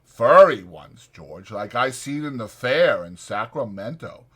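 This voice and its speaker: A man voicing a big, simple-minded, childlike ranch drifter who speaks slowly and haltingly, eager and pleading, in an audiobook. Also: medium pitch, very animated, fairly bright tone, husky, exaggerated voice. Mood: wistful. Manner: slow, halting, emphatic speech.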